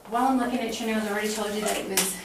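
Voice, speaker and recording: A woman's voice: medium pitch, steady tone, very fast. Middle-aged female clinician, instructing step by step, distant mic in a reverberant, noisy room. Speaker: clinical examiner demonstrating a physical exam. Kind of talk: instructional exam talk, explaining each step to a patient. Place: small cinder-block exam room, indoors. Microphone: camera mic from across the room.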